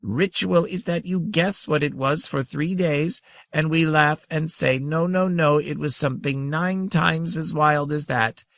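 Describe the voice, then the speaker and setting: A man's voice: fairly high pitch, unhurried, vocal fry, few pauses, a bit bright, dry recording, voice pushed up. A man voicing a tiny, ancient, giggling female creature who teases and chitters playfully. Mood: teasing. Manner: tinkling, chittering, teasing.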